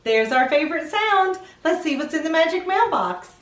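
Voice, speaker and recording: A woman reading a story aloud for children as host, expressive and speaking directly to young listeners. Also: extremely high pitch, normal speed, noisy background.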